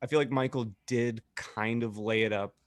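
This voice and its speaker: A man's voice: medium pitch, fairly even intonation, moderate pace, dry recording, clean studio sound. A man co-hosting a casual podcast, talking in a wry, teasing, joking way. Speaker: podcast co-host. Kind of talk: casual group banter.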